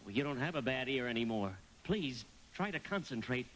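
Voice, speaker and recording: A man's voice: medium pitch, expressive, brisk, fairly bright tone, slight background noise, lightly acted, poor audio. A man playing an eager, naive, fussy apprentice guardian angel in a live radio play, speaking earnestly and politely with some hesitation.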